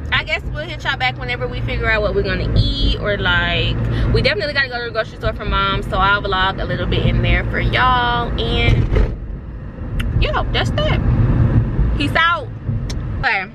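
A woman's voice: a little high, lively intonation, moderate pace. A young American woman chatting casually to a vlog camera in slangy, informal speech. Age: young adult. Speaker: vlogger. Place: inside a car and a grocery store. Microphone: handheld camera at arm's length. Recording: loud car and ambient noise.

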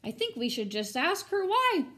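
A woman's voice: very high-pitched, very animated, moderate pace, bright, forceful tone, voice pushed up, full character voice. A woman voicing a small, brave young boy from a storybook, earnest and reasonable. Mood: determined.

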